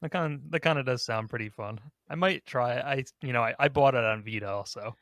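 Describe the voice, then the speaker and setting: A man's voice: medium pitch, quick pace, fairly bright tone, no echo, studio quality. A man hosting a casual panel discussion, talking in an informal, conversational manner. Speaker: host of a ranking podcast.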